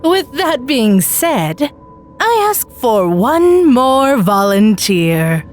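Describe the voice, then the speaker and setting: A woman voicing a sly male stage magician in a story, with theatrical, formal, drawn-out patter and menacing politeness. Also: fairly high pitch, big pitch swings, exaggerated voice. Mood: showy, mischievous. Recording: music bed behind the voice.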